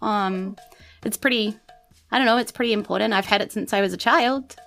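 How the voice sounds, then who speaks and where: A woman voicing a hesitant, soft-spoken young winged woman in a tabletop game, with music underneath. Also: medium pitch, vocal fry. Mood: wistful, hesitant. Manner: hesitant, halting.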